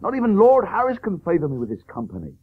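Brash cricketer for period radio play: cocksure, husky, very animated, on dulled old tape. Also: male voice, sits high, fast, dry recording, narrow, tinny audio, heavily acted. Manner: blustering, cocksure. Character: brash, headstrong Victorian gentleman cricketer, young man.

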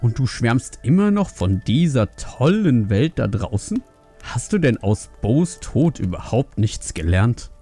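A man's voice: mid-range voice, very animated, a little slow, dark tone, husky, faint music behind, pitched above the performer's own voice. A man voicing a strict, angry panda father who scolds his family in a fantasy audiobook, stern and reproachful. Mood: angry, accusing. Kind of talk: acted fantasy audiobook dialogue.

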